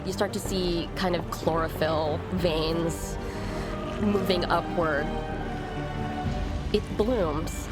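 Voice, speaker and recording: Slow, low-voiced woman narrating over music. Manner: deliberate, searching for words. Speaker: tabletop game player. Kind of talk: improvised descriptive narration of a role-playing game. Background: prominent music.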